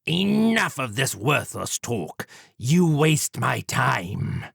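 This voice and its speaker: A man voicing an arrogant, sneering gentleman surgeon who is a murderer, in an audiobook story, with a haughty, drawn-out delivery. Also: mid-range voice, big pitch swings, creaky, breathy edge, dry recording, studio quality, pitched above the performer's own voice, full character voice. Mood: impatient, haughty.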